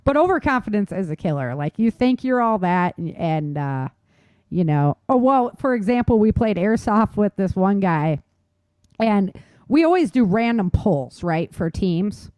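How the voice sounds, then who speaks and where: A woman's voice: mid-range voice, very animated, unhurried, darkish tone, dry recording. A woman hosting a talk show in casual chat, telling things informally with slangy emphasis and repetition. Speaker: talk show host, author. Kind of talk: casual chat on survival tips.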